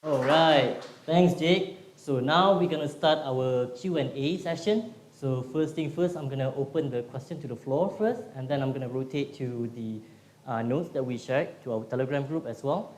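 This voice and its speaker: A man of middle pitch hosts a Q&A, friendly and upbeat as he invites questions. The room around him is echoey. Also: moderate pace. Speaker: event moderator. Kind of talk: hosting a Q&A. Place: meetup event room.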